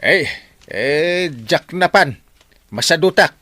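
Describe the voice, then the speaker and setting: A man's voice: on the high side, lively intonation, slow, bright, forceful tone, no room sound, soft background music, full character voice. A man with an Ilocano accent acting a lazy, exasperated husband who grumbles his way through a radio comedy drama. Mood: irritated, grumbling. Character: lazy, grumbling husband who resents feeding neighbours' animals.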